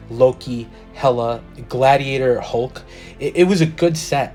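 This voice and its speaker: A man hosting his own collector channel, talking through a careful review in a measured, methodical way, a little stuffy from a cold. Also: mid-range voice, a little mellow. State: slightly congested from a cold. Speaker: toy collector channel host. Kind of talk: scripted product review voice-over.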